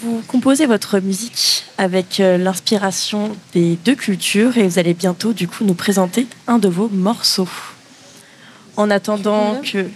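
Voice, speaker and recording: A woman's voice: mid-range voice, measured pace, a little hiss or hum. A woman hosting a panel discussion and putting questions to guests. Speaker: host.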